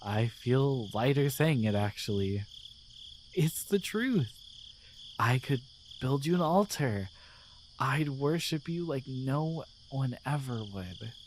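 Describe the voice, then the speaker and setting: A performer voicing a gentle, devoted guardian angel in a romantic ASMR roleplay, speaking tenderly and hesitantly with earnest pauses. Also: mid-range voice, lively intonation, a little hiss or hum. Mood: adoring. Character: gentle, devoted guardian angel, newly feeling, shyly in love.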